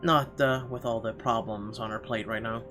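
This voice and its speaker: A man narrating a visual novel as a let's player, reading aloud a little haltingly with casual asides. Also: medium pitch, steady tone, sharp tone. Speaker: gamer reading the story aloud. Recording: game music clearly behind the voice.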